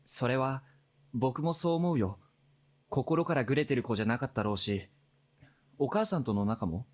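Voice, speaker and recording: A man's voice: medium pitch, expressive, fast talker, lightly acted. An actor playing a probing but polite and apologetic male investigator in a Japanese visual novel.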